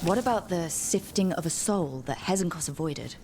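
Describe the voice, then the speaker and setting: An actress playing a curious, plain-spoken adventurer heroine in a fantasy video game, direct and conversational. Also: female voice, fairly low pitch, expressive, normal speed, a little mellow, a little hiss or hum. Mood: probing. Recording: game music playing behind.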